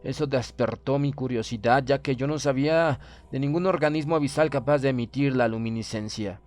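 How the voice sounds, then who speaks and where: Male audiobook narrator for classic horror, mid-pitched voice, measured and solemn first-person reading. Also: darkish tone, soft background music.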